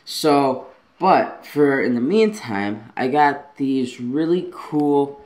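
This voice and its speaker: A young man talks casually into a camera held at arm's length in his bedroom, his voice sitting at an ordinary middle pitch. He rambles in an easygoing way, padding his sentences with fillers as he goes. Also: lively intonation, slow pace, darkish tone. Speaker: vlogger. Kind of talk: casual vlog talk to camera. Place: bedroom at home, indoors. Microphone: handheld camera at arm's length.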